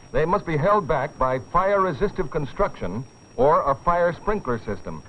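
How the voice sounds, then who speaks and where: A man's voice: mid-range voice, slight background noise. A man delivering dramatic, solemn scripted narration for an educational film, with rhetorical weight. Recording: dull, dated film soundtrack with music.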